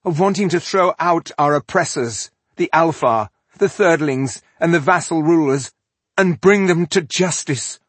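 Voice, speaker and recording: A man's voice: fairly high pitch, unhurried, breathy edge, no echo, voice pushed up. A man voicing a fierce, defiant young woman rebel in a fantasy audiobook, speaking with urgent, accusing force.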